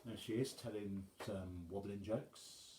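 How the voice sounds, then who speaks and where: A man reading a rhyming children's story aloud as an expressive storyteller. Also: on the low side, measured pace, echoey room, noisy background.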